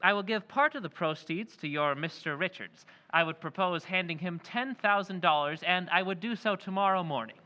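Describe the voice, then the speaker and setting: A man playing a slick, bright-voiced mysterious stranger. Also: high voice, normal speed, few pauses, highs cut off. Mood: smooth persuasive. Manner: persuasive, salesmanlike. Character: smooth-talking mysterious male stranger posing as an antiques dealer. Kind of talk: old-time radio drama recreation.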